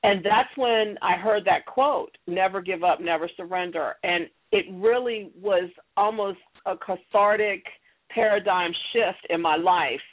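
A woman's voice: medium pitch, measured pace. A middle-aged woman talking earnestly as an interview guest, telling personal stories in an encouraging, emphatic way over a phone line. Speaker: interview guest, audio theater creator. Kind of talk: conversational interview, personal storytelling. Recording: narrow, tinny phone-line sound.

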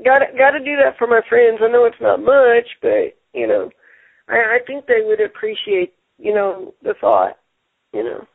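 A man hosting a casual radio show, talking haltingly and repeating words, with many fillers. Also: extremely high pitch, animated, vocal fry, dry recording. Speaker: show host. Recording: tinny phone-line sound.

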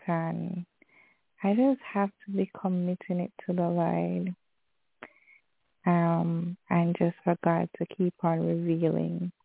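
A Jamaican woman calls in with a prayer request, talking devoutly in halting phrases. Her voice is low and gravelly, and she speaks very slowly. She comes through a dry, tinny phone line. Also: no echo. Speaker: caller.

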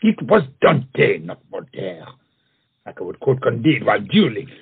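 Man acting as proud French poet-duelist, witty, self-conscious, florid, animated, husky, comic radio play, muffled recording. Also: medium pitch, a little slow, full character voice. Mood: proud, teasing. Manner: florid, dramatic, eloquent phrasing. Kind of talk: theatrical comedy audio-play acting.

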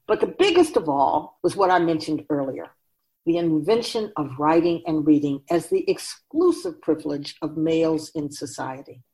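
A woman's voice: deep voice, normal speed, darkish tone, lightly acted, low-quality recording. A woman playing a wise otherworldly keeper of souls in a radio drama, teaching humanity in an emphatic, oracular manner with rhetorical questions. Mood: grave, lecturing. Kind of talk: radio drama dialogue, lecturing.

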